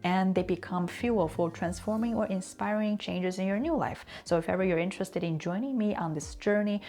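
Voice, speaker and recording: A woman whose first language is Chinese, giving an earnest, reflective talk on consistency and slowing down to stress key words. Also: medium pitch, mellow. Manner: conversational asides and fillers. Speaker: language and culture teacher.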